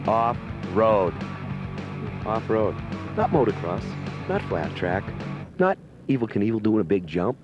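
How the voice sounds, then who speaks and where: A man hosting a motorsport show on location, talking in a jokey, wisecracking, high-energy way. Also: very animated, slow, pauses often, highs cut off, music in the background. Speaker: show host.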